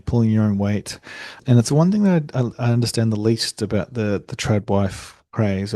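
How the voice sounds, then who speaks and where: A young Australian man hosting a solo podcast, speaking conversationally with frequent fillers and restarts and a voice he calls monotone. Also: medium pitch, no echo, clean studio sound. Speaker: podcast host.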